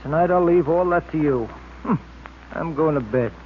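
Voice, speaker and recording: A man as high-pitched radio sidekick, tinny recording. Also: normal speed, close to an everyday voice. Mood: weary, joking. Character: weary, good-natured male detective sidekick. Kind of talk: 1940s radio serial acting. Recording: scratchy, narrow old radio recording with hiss.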